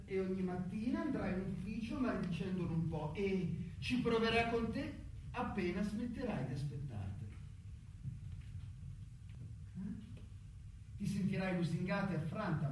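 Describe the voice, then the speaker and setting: A man acting a cynical, world-weary human resources veteran in a radio play, speaking dryly and deliberately, distant and echoey over music. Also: high voice, lively intonation, a little hiss or hum. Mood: bitter, insistent.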